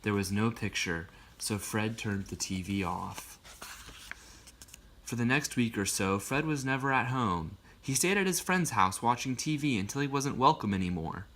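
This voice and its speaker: A man reading a picture book aloud in an expressive storytelling way, with casual asides. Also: fairly low pitch, moderate pace.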